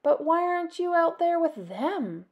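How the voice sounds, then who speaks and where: A woman's voice: extremely high pitch, big pitch swings, unhurried, lo-fi sound, voice pushed up, heavily acted. A woman voicing a reserved, friendly young girl opossum from a storybook, laid-back and a little hesitant. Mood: shy.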